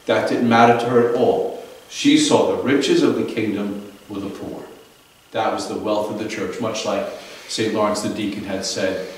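A man in his fifties, a priest giving a daily homily in a small chapel, telling a saint's story in a relaxed, conversational way, recorded by a camera across the room. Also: on the low side, moderate pace. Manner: conversational storytelling, fillers and restarts. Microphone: far camera. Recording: echoey chapel from far off.

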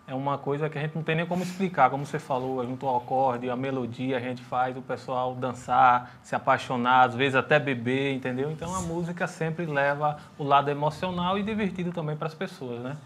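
A man's voice: mid-range voice, steady tone, brisk, hardly any pauses, fairly bright tone, slight background noise. A young man from Bahia with a Northeastern Brazilian accent, a band singer giving an earnest, friendly studio interview on a lapel mic. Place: TV studio set.

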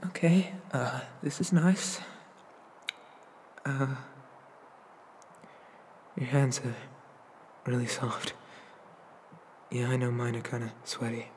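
A man playing a shy, awkward, inexperienced young incubus demon in an ASMR roleplay, stammering and apologetic, with a husky, breathy voice. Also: medium pitch, steady tone, slow, long pauses, a little mellow, big-room sound, a little hiss or hum, natural voice.

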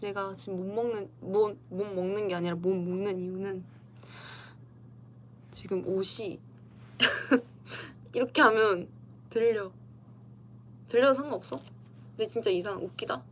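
Giggly young woman chatting slowly on a livestream. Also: medium pitch, steady tone, slight background noise. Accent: Korean dialect. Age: young adult. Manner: giggly, playful, talks to viewers' comments. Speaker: idol streamer. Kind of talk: casual livestream chat. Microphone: computer livestream mic.

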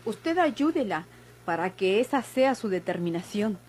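A firm, composed nurse speaks at a medium pitch. Her diction is formal and deliberate, with a Mexican accent, in golden-age radio soap style. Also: very animated, darkish tone, slightly rough.

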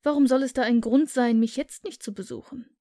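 A woman reading the part of a cheerful, warm-hearted young man who speaks earnestly and pleadingly. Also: fairly high pitch, fairly quick, close to an everyday voice.

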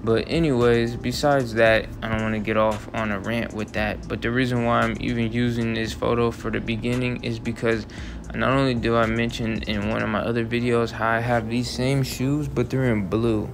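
A man's voice: mid-range voice, flat delivery, moderate pace, background noise. A man in his twenties giving a rambling religious monologue, circling back and repeating his phrases. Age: 20s. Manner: meandering, repeats phrases, frequent restarts. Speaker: religious vlogger. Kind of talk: rambling monologue over still images. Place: voice-over, not in pictured scene.

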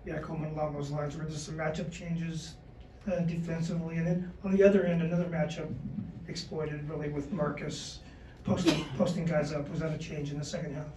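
A male reporter with a fairly high, soft-edged dark tone puts questions at a press conference. He sounds off mic in an echoey room. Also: flat delivery, brisk, distant mic, a little hiss or hum.

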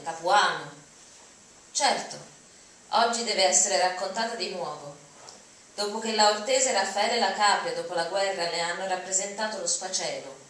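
Reverberant hall, woman, dramatic reader, mid-pitched voice, distant podium, faint noise. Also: a bit bright. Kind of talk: dramatic reading of written texts. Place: auditorium, at podium. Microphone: podium mic, recorded from audience. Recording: very echoey hall, distant, noisy.

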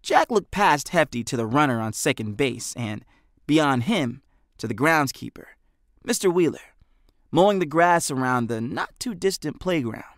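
A man's voice: on the high side, lively intonation, normal speed, fairly bright tone, no room sound. An American man narrating a storybook as an audiobook reader, in scripted style, close on the microphone.

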